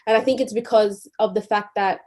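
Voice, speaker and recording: A woman's voice: medium pitch, steady tone, a little fast, darkish tone. Thoughtful young Australian woman. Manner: thoughtful, hedging. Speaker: podcast co-host. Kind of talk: casual chat.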